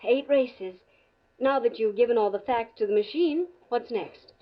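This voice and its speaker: A woman's voice: on the high side, a little slow, lightly acted. An American actress playing a young engaged woman, coaxing and practical, in a mid-century radio drama. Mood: reading out carefully. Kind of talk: 1940s-50s radio drama acting. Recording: muffled vintage radio drama recording.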